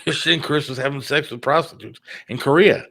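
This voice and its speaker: A man's voice: a little high, fairly quick, bright, forceful tone. A man co-hosting a casual talk show, speaking in an earnest, slightly hesitant, peacemaking way.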